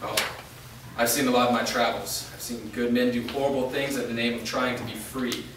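An actor playing a world-weary, defiant wandering fighter in a staged play reading. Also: male voice, medium pitch, flat delivery, fairly quick, lightly acted. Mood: grim, defiant. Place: large bare indoor room, staged reading. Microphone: distant camera. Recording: echoey room from far off, faint noise.

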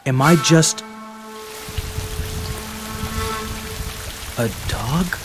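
An actor playing a brash, ambitious young samurai warlord with boastful, declamatory delivery in a video game. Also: male voice, mid-range voice, slow, very noisy, close to an everyday voice. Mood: brooding. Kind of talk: dramatic video game acting.

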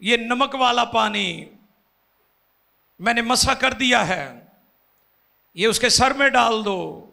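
An impassioned pastor in his forties preaches into a handheld mic on a church stage. His voice is high and bright, a gravelly edge, and he swells into loud, fervent peaks. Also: expressive, slow. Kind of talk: sermon. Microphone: handheld microphone.

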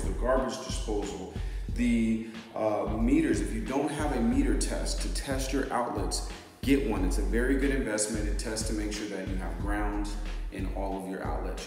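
A man's voice: on the low side, darkish tone. A man in his thirties giving real estate tips to the camera in an upbeat, chatty way, filming himself on a phone held at arm's length as he walks through an empty house. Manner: upbeat, chatty, emphatic repetition. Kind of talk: walkthrough vlog, tips to camera. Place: empty house, bare rooms. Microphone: handheld phone at arm's length. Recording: echoey empty rooms with long reverb.

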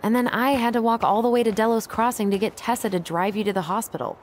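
A woman's voice: a little high, fast, a little hiss or hum, natural voice. An actress playing a dry, guarded, teasing young American woman in naturalistic video game dialogue. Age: young adult.

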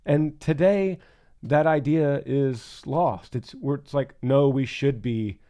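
A man's voice: mid-range voice, slow pace, mellow. A man co-hosting a podcast, talking in an earnest, emphatic and reflective way. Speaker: podcast co-host. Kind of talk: casual podcast talk.

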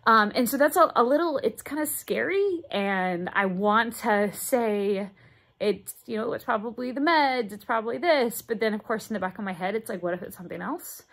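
Halting woman vlogging from her bedroom. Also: fairly high pitch, expressive. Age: 30s. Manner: halting, searching for words, many fillers. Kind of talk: casual daily video diary. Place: bedroom indoors, moving boxes behind. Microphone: phone held at arm's length.

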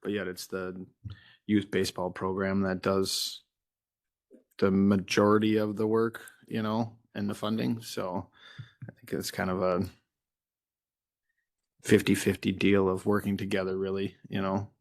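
A man's voice: deep voice, completely flat delivery, unhurried, long pauses. A man making an easygoing, folksy comment in a meeting.

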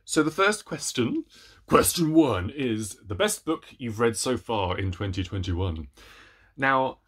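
A man's voice: mid-range voice, expressive, unhurried, slightly rough. A young adult man chatting casually to camera as a book reviewer, in a hesitant, self-deprecating way. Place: small room at home, indoors.

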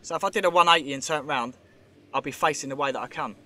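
A man's voice: a little high, quick pace, bright, forceful tone. Chatty man filming in a cave. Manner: chatty, rambling, speculative, points things out. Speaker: vlogger exploring a show cave. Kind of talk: casual handheld commentary. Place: underground cave tunnel.

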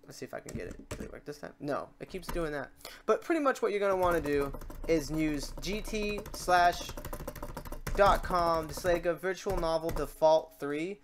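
A man hosting a casual software tutorial, rambling and thinking aloud as he works. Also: on the high side, moderate pace. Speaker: tutorial host. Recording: clearly noisy mic, keyboard clicks. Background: typing and mouse clicks.

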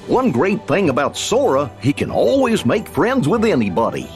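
A folksy, guileless cartoon dog sidekick. The drawling voice sits high for a man and leaps all over in pitch, with prominent music underneath. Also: wildly swooping pitch, moderate pace. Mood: warm, reassuring.

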